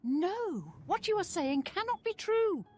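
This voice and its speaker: A woman's voice: very high-pitched, sing-song, unhurried, a little mellow, soft background music, full character voice. A woman playing a pious, outraged townswoman in a fantasy game, emphatic and disapproving. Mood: indignant.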